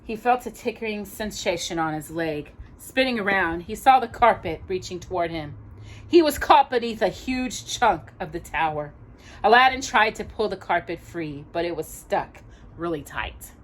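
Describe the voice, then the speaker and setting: A woman reading a children's story aloud, expressively, with dramatic, measured phrasing and pauses. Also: fairly low pitch, animated, rough recording. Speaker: storytime reader.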